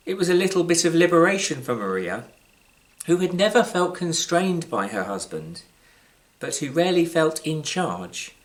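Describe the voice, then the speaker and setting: A British man reads his own short story aloud in a measured, wry way, with deliberate pauses and varied emphasis. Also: a little high, fairly bright tone, rough recording. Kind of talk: scripted literary storytelling, live reading.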